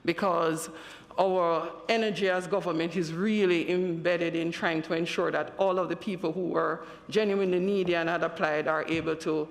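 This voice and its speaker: A Jamaican woman, a politician, speaking at a committee hearing in deliberate short phrases. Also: lowish voice, fairly even intonation. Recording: echoey meeting room.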